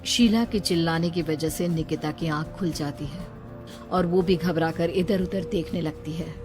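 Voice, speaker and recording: A woman's voice: low voice, moderate pace. A woman reading a scripted horror story as its narrator. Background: background music.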